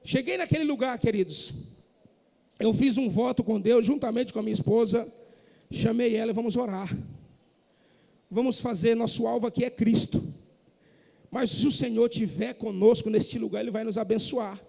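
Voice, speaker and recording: Male pastor, very high voice, impassioned shouting sermon, long church reverb. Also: moderate pace, gravelly, pauses often. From Northeast Brazil, Maranhão. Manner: impassioned preaching, rising to shouts. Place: church hall. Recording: echoey church hall.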